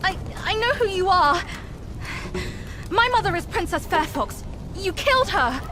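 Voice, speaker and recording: An actress playing an earnest, imploring young fox princess in a dramatic video game scene. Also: female voice, very high voice, animated, unhurried. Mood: distressed. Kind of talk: video game cutscene acting. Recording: music behind the voice.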